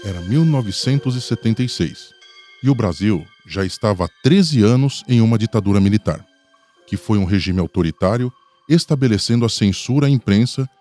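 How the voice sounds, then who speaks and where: A man's voice: on the low side, warm tone. A man with a Brazilian accent giving scripted narration for a radio documentary.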